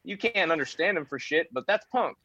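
Man, late thirties, easygoing guest, slightly high voice, muffled lo-fi mic. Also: expressive, fairly quick, bright, forceful tone, slightly rough, dry recording. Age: 30s. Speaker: podcast guest. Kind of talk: casual chat. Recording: dull lo-fi mic.

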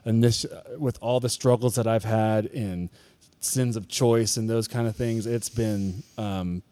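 A man with a low, mellow voice talks openly in a relaxed podcast chat. He rambles a little and restarts his thoughts as he confesses his own struggles. The sound is dry and close. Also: measured pace, no room sound. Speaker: podcast co-host at a church. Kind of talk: casual chat.

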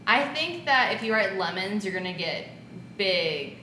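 A woman's voice: medium pitch, animated, a little slow, sharp tone. A woman chatting casually on a group podcast. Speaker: podcast intern guest. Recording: echoey room with faint noise.

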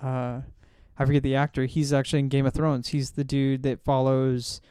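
A man's voice: mid-range voice, level tone, moderate pace, dark tone, clean tone, no echo, clean studio sound. A man hosting a casual film discussion, rambling and often correcting himself as he recalls details. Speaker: podcast host.

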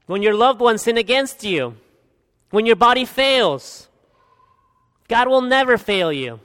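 A man in his thirties from Guatemala, preaching an expository sermon as pastor, earnest, swinging from emphatic peaks to quiet asides. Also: sits high. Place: church hall. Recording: long hall reverb.

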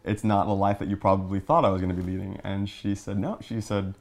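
Low-pitched man telling a personal story. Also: flat delivery, fast talker. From Long Island, New York, United States. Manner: conversational, recounts dialogue.

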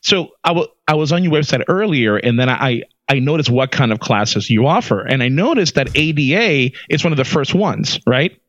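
An excitable man co-hosting a podcast, asking a guest long questions. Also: medium pitch, moderate pace, hardly any pauses, no echo, highs cut off. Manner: excitable, talks loudly into the mic. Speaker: podcast co-host. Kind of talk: casual podcast interview.

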